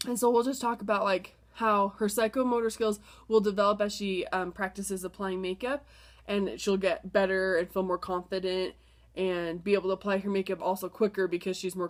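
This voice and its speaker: A young woman with a stuffed-up nose from a cold, casually talking through a lesson plan with many fillers and restarts. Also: medium pitch. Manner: chatty, filler-heavy, apologetic restarts. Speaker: student presenting a lesson plan. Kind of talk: casual spoken presentation.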